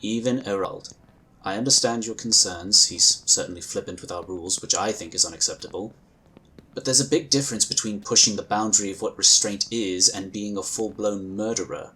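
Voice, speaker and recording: A man playing a calm, diplomatic male inquisitor who talks in a measured, courteous way. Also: lowish voice, poor audio. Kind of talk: fan audio drama acting.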